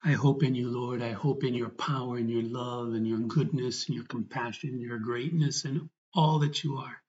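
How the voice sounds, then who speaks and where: A man leads a guided prayer as a priest, speaking at a middling pitch. His delivery is reverent and earnest, broken into unhurried phrases with pauses. The recording is slightly dull and lo-fi. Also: steady tone, a little mellow. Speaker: priest guiding meditation. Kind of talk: guided prayer, spoken meditation and gospel reading.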